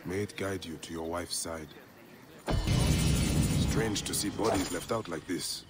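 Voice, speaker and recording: An actor playing a grave, steady Egyptian warrior and father who speaks in a calm, measured, formal way. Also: deep voice, over loud music. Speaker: Medjay protector.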